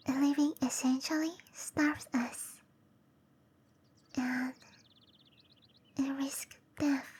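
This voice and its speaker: A woman voicing a gentle, shy cherry blossom dryad in whispery roleplay ASMR, with soft breathy speech and a tender, hesitant manner. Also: sits high. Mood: wistful, reassuring. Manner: slow, tender, hesitant delivery.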